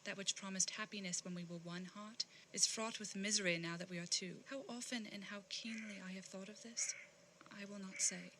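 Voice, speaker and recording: A woman acting as a sorrowful, dignified young fiancée in an audio drama, earnest, measured and wounded. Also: medium pitch, fairly even intonation, noisy background, natural voice. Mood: sad reproach.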